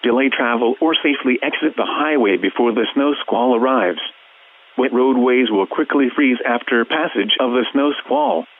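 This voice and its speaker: A narrow, tinny radio band carries a synthetic man's voice at a middle pitch. He reads emergency weather warnings as an automated announcer, formal and measured throughout. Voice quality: synthetic text-to-speech voice. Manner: flat, formal, measured reading. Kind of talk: scripted emergency weather bulletin.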